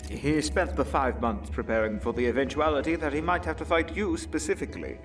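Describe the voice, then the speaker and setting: Guy as old retired butler, calm n measured, audio drama, faint music under, bit far from mic. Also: on the high side, natural voice. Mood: grave, earnest. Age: older. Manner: formal, dry, measured. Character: retired older male butler mentor, calm and dry.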